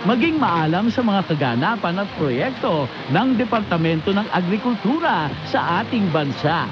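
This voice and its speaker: A woman's voice: mid-range voice, very animated, moderate pace. A woman with a Filipino accent reading scripted radio promos as a station announcer. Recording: dull AM radio reception, loud music. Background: loud music.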